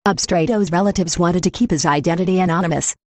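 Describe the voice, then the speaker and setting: A woman's voice: lowish voice, fast talker, a little mellow, no echo, natural voice. A woman playing a television news reporter, delivering a scripted report in a matter-of-fact, informative way. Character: matter-of-fact female TV field reporter on eerie incidents.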